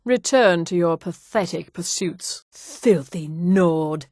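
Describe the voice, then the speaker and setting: An actress voicing a haughty, disdainful high elf woman for a fantasy video game, with an imperious, formal delivery. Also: slow, darkish tone, no echo, clean studio sound. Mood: cold, menacing. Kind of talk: video game character voice lines.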